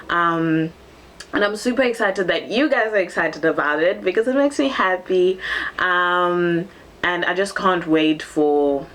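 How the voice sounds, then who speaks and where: A woman's voice: lowish voice, a bit bright, a little hiss or hum. A seventeen-year-old girl, originally from Zambia and raised in Cape Town, chatting casually in a get-to-know-me vlog, bubbly and full of ums. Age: teens. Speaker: vlogger.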